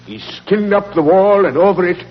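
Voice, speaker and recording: A man acts out an excitable old Irish caretaker, a colourful storyteller in an old radio play. His voice sits a little high and is folksy and emphatic. The recording is muffled and echoes. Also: normal speed, lightly acted. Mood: insistent, boastful. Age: older. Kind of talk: 1940s radio acting.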